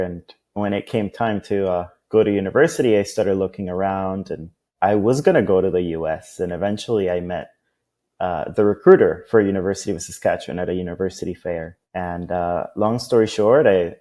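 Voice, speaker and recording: A man's voice: low-pitched, warm tone. A man from Costa Rica, a guest on a business interview, talking at length in a loose, rambling way. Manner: talkative, many fillers and restarts.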